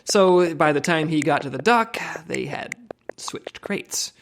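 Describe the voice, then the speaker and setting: A man's voice: fairly high pitch, animated, vocal fry, a little hiss or hum. Man acting a flustered, officious team leader. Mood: halting, sheepish. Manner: halting, officious, defensive. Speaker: actor in a comedy audio drama. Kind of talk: comedy audio drama acting.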